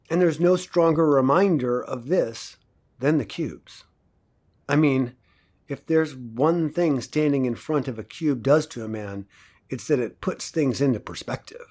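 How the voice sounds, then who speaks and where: A man playing a cynical, persuasive professor, lecturing in a measured, didactic way with rhetorical pauses in an audio drama. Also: medium pitch, a little mellow.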